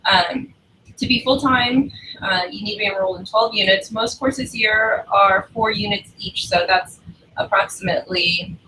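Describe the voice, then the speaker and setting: A woman's voice: mid-range voice, normal speed, distant mic, noisy background. Hesitant woman advisor, off-mic. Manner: relaxed, hesitant, many fillers. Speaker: academic advisor. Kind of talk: casual livestream talk to new students.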